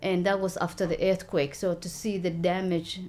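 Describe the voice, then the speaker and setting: A woman, telling her story in an interview with an earnest, halting manner and many fillers. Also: fairly low pitch, flat delivery, a little mellow. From Somalia. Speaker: local election candidate.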